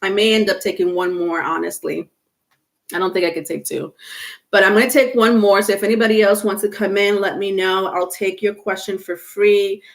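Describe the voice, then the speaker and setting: A woman's voice: medium pitch, fairly even intonation, normal speed. Woman w a stuffy nose rambling on a live stream, lots of ums, no mic. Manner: chatty, many fillers, greets viewers. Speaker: tarot reader streaming live. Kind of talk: casual live-stream chat. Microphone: no microphone, device mic.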